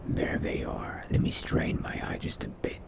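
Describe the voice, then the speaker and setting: A man voicing a wary, hungry undead vampire in a game roleplay, muttering to himself in a breathy, gravelly whisper. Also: natural voice. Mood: wary, stealthy. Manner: slow, hushed, muttering to himself. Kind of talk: in-character video game roleplay narration.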